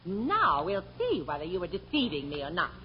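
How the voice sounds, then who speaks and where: An actress playing a bossy, scolding middle-aged wife with an emphatic, theatrical manner in a 1940s radio comedy. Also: female voice, fairly low pitch, wildly swooping pitch, moderate pace. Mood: indignant. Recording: muffled, noisy old radio recording.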